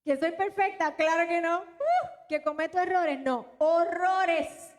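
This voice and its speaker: A woman's voice: very high-pitched, expressive, unhurried. A Puerto Rican woman pastor with a mixed Latin American Spanish accent, preaching animatedly and talking straight to her congregation in a church. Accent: mixed Latin American Spanish, little Puerto Rican. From Puerto Rico. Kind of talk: live church sermon and announcements. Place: church hall.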